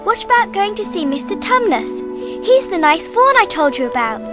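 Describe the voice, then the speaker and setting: A tiny, very high little-girl voice, earnest and kind-hearted. It is radio drama dialogue with faint music behind it. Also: big pitch swings, normal speed. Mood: cheerful.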